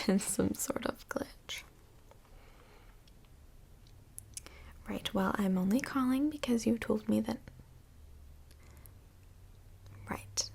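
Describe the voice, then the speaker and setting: A woman plays a polite, tactful female counselor from Hell in an ASMR roleplay. Her voice is whispery and breathy, with some crackly fry in it. She speaks slowly and professionally, pausing to pick her words. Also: medium pitch, a little mellow, exaggerated voice. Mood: polite, flustered. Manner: measured, tactful, professional, hesitant pauses. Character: polite, busy woman filling in as Hell's couples counselor. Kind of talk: soft-spoken ASMR roleplay.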